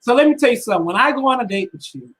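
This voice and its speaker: A woman's voice: fairly high pitch, animated, rapid-fire, breathy edge. A woman in her twenties, a podcast co-host, giving blunt, emphatic opinions in slangy casual chat. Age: 20s.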